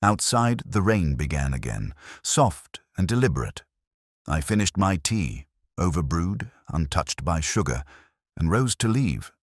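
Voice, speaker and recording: A man narrating a scripted first-person detective story in a measured, literary, reflective way. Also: low-pitched, sing-song, dry recording, clean studio sound.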